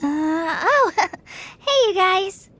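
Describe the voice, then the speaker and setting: A woman playing a shy, friendly teenage girl in a video game, gentle and a little tentative. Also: very high voice, lively intonation, drawn-out words, heavily acted. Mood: friendly, flustered. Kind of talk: video game character dialogue.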